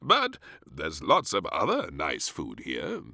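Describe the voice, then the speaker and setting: A man's voice: very deep voice, wildly swooping pitch, slow pace, vocal fry, pushed and bright, no room sound, clean studio sound, full character voice. Man doing a hearty storybook king and dad. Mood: cheerful. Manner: cheery, hearty, exaggerated. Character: jolly, doting king, a playful adult father. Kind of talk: read-aloud children's storybook.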